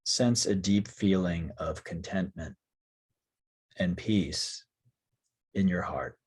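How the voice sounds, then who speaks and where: Slow, low, husky male meditation guide. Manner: calm, soothing, long pauses between short phrases. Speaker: yoga nidra teacher.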